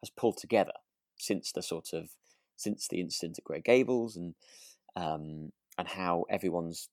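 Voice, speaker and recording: Male podcast co-host, deep register, dry recording, casual and thoughtful, feeling his way through sentences. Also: steady tone, normal speed, darkish tone, no echo. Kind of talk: casual podcast chat about a radio drama.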